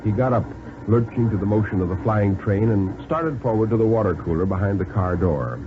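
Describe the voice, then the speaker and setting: An American man giving a dramatic, expressive reading of a thriller story for 1950s radio. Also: lowish voice, a little fast, noisy background. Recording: narrow 1950s radio, music behind.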